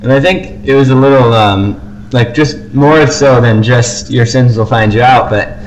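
A man co-hosting a podcast, giving casual, measured reviews in a conversational, hedging way. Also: mid-range voice, a little hiss or hum, poor audio. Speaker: podcast co-host.

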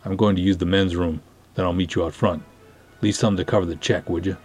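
A man acts out a pompous, earnest canoe cop in a storybook reading. His voice is low and husky, and he talks in ornate, formal sentences with a flustered edge. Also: steady tone, fast, darkish tone, soft background music. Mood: indignant, puzzled. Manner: formal, florid, flustered phrasing.

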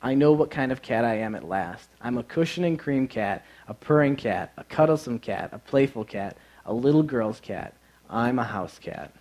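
A man voicing a gentle, timid little female kitten in a children's storybook, with simple, soft-hearted speech. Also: medium pitch, lively intonation, moderate pace, lightly acted. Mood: contented.

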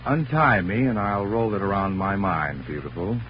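A man's voice: on the low side, sing-song, moderate pace. An actor playing a cocky, unflappable tough guy in a 1940s hardboiled radio drama, slangy and sardonic, teasing with endearments. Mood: cool, sardonic. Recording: muffled old radio recording, faint hiss.

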